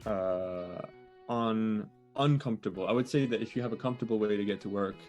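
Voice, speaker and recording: A man's voice: lowish voice, a little fast, darkish tone, soft background music. A young man talking casually as an interview guest over a video call, hesitant with many fillers and restarts. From born in the US, raised in France. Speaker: guest, digital nomad.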